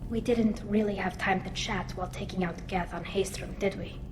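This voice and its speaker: An actress playing a loyal, guarded female alien engineer in a video game, speaking in a soft, earnest, measured way. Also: fairly even intonation, natural voice. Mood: wistful, concerned. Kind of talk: video game character acting. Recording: game audio over clear engine rumble.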